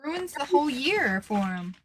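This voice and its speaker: Friendly woman guest, higher pitch, bright forward tone, dry sound. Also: big pitch swings, moderate pace, a little hiss or hum. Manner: friendly, laughs easily. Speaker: livestream guest. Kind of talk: casual chat.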